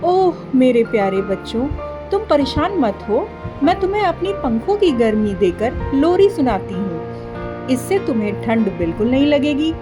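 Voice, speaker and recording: A woman voicing a small, caring mother bird in an animated story, earnest and plaintive, with emphatic pleading. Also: high-pitched, a little slow, music in the background, voice pushed up. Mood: soothing.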